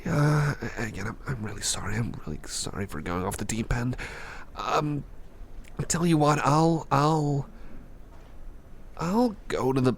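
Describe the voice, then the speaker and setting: Guy acting as a flustered boss in asmr roleplay, croaky, stutters and keeps apologizing, bit of background noise. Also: mid-range voice, animated, unhurried. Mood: apologetic. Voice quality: creaky. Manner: stammering, hesitant, repeats himself. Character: flustered, apologetic boss recovering from an embarrassing outburst.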